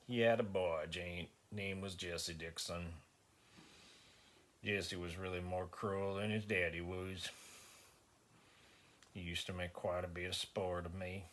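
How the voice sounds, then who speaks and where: A man performing a dramatic monologue as a slow-witted, stoic rural man with a Southern US accent, halting and deadpan, grunting hums between phrases. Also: deep voice. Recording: faint background noise.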